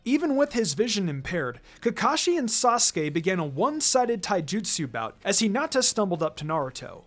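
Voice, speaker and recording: A man's voice: sits high, very animated, brisk, a bit bright. A man reading a story aloud in a dramatic scripted narration style. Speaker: narrator reading fan fiction. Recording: music behind the voice.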